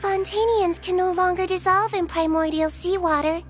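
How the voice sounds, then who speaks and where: A woman's voice: extremely high pitch, steady tone, moderate pace. A woman voicing a sweet young head nurse in a video game, composed and precise with polite bedside manner. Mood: calm, clinical. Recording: game music behind the voice.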